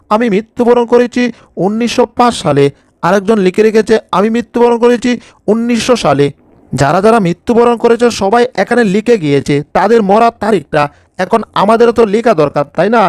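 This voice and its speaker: A man's voice: high-pitched, fairly quick, no echo, lightly acted. A man voicing an anxious, scolding bulldog in a cartoon dub, with an exclamatory, grumbling manner. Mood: scolding, worried. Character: anxious, scolding male bulldog taking charge.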